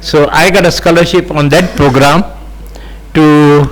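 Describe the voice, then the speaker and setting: A man in his eighties, native Sindhi speaker with an Indian accent, telling his life story as an interview guest, halting with many fillers and gentle chuckles. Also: a little high, steady tone, low-quality recording. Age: 80s.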